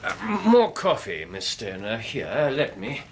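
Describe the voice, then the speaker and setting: Shrewd gentleman detective, English accent, animated pitch, polite precise phrasing, period radio drama, noisy background. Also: takes it slowly, exaggerated voice. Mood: courteous, soothing. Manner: urbane, precise, hesitant courtesies. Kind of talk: British radio drama acting.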